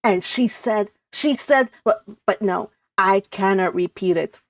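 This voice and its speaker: A woman's voice: medium pitch, a little slow, no echo, studio quality, close to an everyday voice. Woman doing a whimpering old spinster cousin. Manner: whimpering and pathetic.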